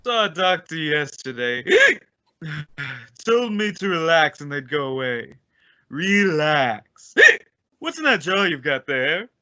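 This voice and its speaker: Grumpy, curt principal for radio comedy, male actor, sharp forceful voice, muffled recording. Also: fairly high pitch, expressive, slow, full character voice. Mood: exasperated. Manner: curt, exasperated. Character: grumpy, blustering male school principal with hiccups. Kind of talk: old-time radio comedy acting. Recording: dull lo-fi radio drama audio.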